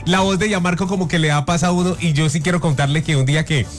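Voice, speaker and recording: A man's voice: fairly high pitch, fairly even intonation, fast talker. A male radio host from Colombia, telling an anecdote in an easygoing, casual way. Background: music.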